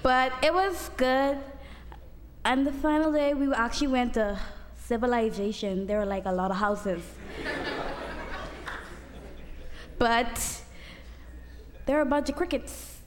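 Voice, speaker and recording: A woman's voice: on the high side, slow, long pauses. Chatty young woman telling a story. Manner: chatty, filler-heavy storytelling. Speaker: student giving testimony. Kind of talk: casual storytelling testimony. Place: church hall. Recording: long hall reverb, faint noise.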